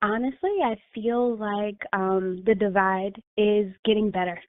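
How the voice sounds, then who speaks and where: A woman's voice: mid-range voice, fairly even intonation, a little slow, no echo. Woman talking over a tinny phone line. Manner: thoughtful, with many fillers and restarts. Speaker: guest life coach. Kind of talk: casual interview talk.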